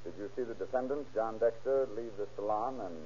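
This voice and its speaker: An actor playing a brisk, formal prosecutor questioning witnesses in an old radio drama. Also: male voice, lowish voice, dark tone, a little hiss or hum, natural voice. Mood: brisk, probing. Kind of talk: 1940s radio courtroom acting. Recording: muffled old radio recording.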